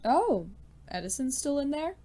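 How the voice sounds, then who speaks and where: A woman's voice: high-pitched, sing-song, a little slow, dark tone. A woman playing a pleasant, chatty schoolteacher with a knowing air in an audio drama, polite and breezy.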